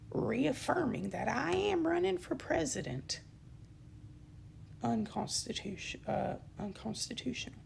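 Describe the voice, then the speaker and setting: Young woman, dry throat, strong vocal fry, slightly high voice, faint hiss behind. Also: a little mellow. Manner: indignant and emphatic, with frequent ums and self-corrections. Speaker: channel host giving a campaign statement. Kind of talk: unscripted spoken statement to camera.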